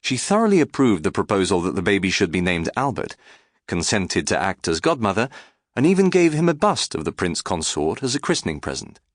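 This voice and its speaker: A man reading a scripted biography as an audiobook narrator, in a measured, articulate and formal way. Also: low-pitched, very animated, no room sound, clean studio sound.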